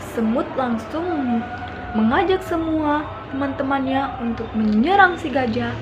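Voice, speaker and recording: A young woman with an Indonesian accent, a student telling a folk tale aloud in a plain, steady reading. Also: high voice, unhurried, music in the background. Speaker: student storyteller.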